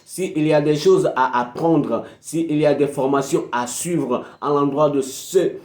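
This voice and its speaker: A man's voice: a little high, unhurried, darkish tone, echoey room. Emphatic man giving a preachy motivational talk. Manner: preachy, emphatic, repeats phrases for effect. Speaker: motivational speaker. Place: indoor room at a desk with a PC.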